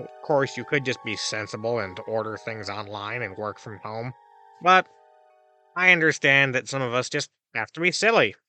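A man's voice: mid-range voice, sharp tone. A man playing a theatrical, flippant advice host in a scripted comedy monologue, with an arch, wry delivery.

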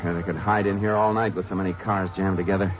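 A man playing a steady, methodical Texas Ranger lawman, plainspoken and brisk in a 1950s western radio drama. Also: deep voice, close to an everyday voice. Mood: urgent. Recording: muffled old-time radio recording with music.

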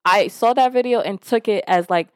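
A woman's voice: mid-range voice, normal speed, no room sound, studio quality. A woman from Nigeria whose first language is Yoruba, hosting a podcast and talking frankly and casually, with the odd curse, about self-growth. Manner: frank and conversational, with casual swearing; lively when retelling stories, voicing others. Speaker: podcast host. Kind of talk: candid self-help monologue.

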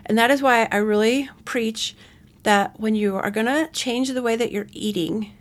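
A woman's voice: fairly high pitch, steady tone, moderate pace. A woman in her forties hosting a podcast alone, chatting casually and rambling with frequent self-corrections. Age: 40s. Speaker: podcast host. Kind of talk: casual solo podcast chat.

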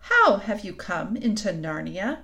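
A woman's voice: medium pitch, sing-song, unhurried, bright, forceful tone, heavily acted. A woman voicing a polite, flustered, slightly melancholy male faun in a storybook, speaking in a courtly, hesitant, formal way. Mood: courtly, curious.

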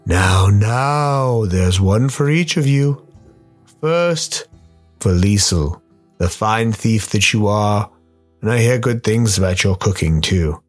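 A man playing a sly, towering demonic gardener in a radio play, courteously menacing, teasing and unhurried. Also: fairly low pitch, expressive. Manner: unhurried, teasing, mock-polite. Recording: music behind the voice.

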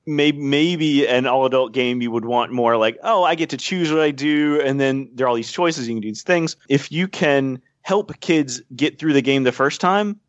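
A man's voice: medium pitch, normal speed, hardly any pauses, no echo. A man explaining game design at length as a podcast guest, thoughtful and full of small fillers. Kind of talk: casual podcast chat. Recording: dull, dry podcast audio.

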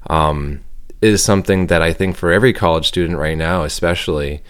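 Young male podcast co-host: low, smooth voice, sincere and thoughtful. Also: fairly quick. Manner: earnest, reflective, searching for words. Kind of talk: casual podcast chat.

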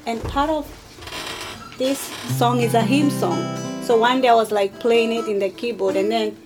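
A woman's voice: on the high side, fairly even intonation, moderate pace, over music. A woman from Kenya, a Kikuyu speaker, chatting casually as a guest singer. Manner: chatty, uses many fillers like "like" and "yeah". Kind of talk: casual conversation.

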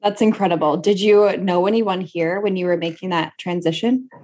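A woman's voice: lowish voice, moderate pace, darkish tone. A woman speaking as a podcast host, introducing and interviewing a guest. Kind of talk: conversational interview with a scripted introduction.

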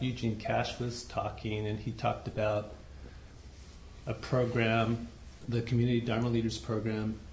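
A soft-spoken man giving a dharma talk to a group, unhurried and hesitant, with many fillers. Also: mid-range voice, creaky, a little hiss or hum. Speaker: dharma teacher. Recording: echoey room recording.

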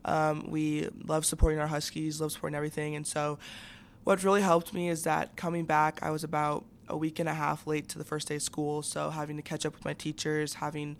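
A young woman in her late teens gives a casual interview. Her voice has a noticeable fry but stays clean and resonant, with fairly flat intonation. She talks in youthful, filler-heavy phrases. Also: sits low, fairly quick. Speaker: student athlete guest.

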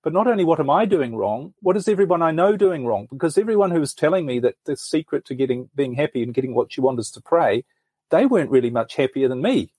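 Husky New man, earnest storyteller. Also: a little high, animated, quick pace, a little mellow, dry recording. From New Zealand. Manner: earnest, thoughtful storytelling with frequent restarts. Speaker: podcast guest. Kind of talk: reflective life story in an interview.

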